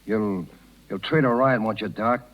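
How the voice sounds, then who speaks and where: An actor playing a plain-spoken, protective circus man with rough grammar in a 1930s radio drama. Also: on the low side, normal speed, lightly acted. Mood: apologetic. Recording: muffled, hissy 1930s radio broadcast.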